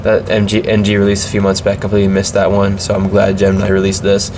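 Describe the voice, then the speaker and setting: A man's voice: on the low side, completely flat delivery, fast talker, background noise. A man with a slight cold reviewing a model airplane in a casual, hesitant way, with many ums and restarts.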